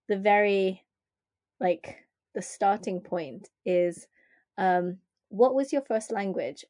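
A woman hosting a relaxed conversation, chatty and quick to laugh, with "like" scattered through her talk. Her first language was Japanese. The sound is dry and close, with almost no room echo. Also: mid-range voice. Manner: chatty, many "like" fillers, laughs often. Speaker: podcast host. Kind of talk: casual chat.

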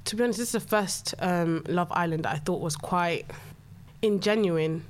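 A young woman with a British accent talks casually as a guest, her voice a little lower than most. She laughs easily and stumbles now and then as she speaks. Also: normal speed, slight background noise. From Nigeria, raised in Swaziland and the UK. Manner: laughs often, stumbles over words. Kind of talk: casual chat.

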